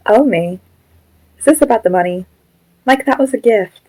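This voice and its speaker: A woman's voice: on the high side, expressive, moderate pace, a little mellow, no room sound, rough recording. A woman playing a shy, earnest, childlike ghost living in a puppet, in an amateur audio drama. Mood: pleased, earnest.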